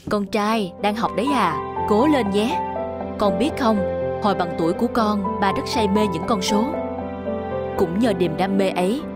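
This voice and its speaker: A woman voicing a stern father over loud music. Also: medium pitch, moderate pace, natural voice. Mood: earnest. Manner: firm, lecturing. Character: stern, demanding middle-aged father. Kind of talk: cartoon dubbing.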